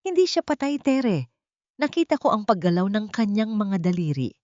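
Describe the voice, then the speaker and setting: A woman's voice: mid-range voice, sing-song, darkish tone, no echo, voice pushed up, natural voice. Woman voicing a grave old male gardener. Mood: grave, urgent. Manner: grave, deliberate. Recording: dull, dry lo-fi recording.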